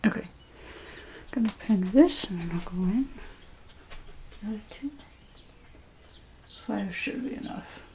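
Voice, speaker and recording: A woman doing a soft-spoken ASMR role play as a dressmaker, gentle and unhurried, her breathy voice often slipping into a whisper. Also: mid-range voice, a little hiss or hum. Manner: gentle, unhurried, reassuring explanations to a client. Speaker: ASMR creator role-playing a dressmaker at a fitting.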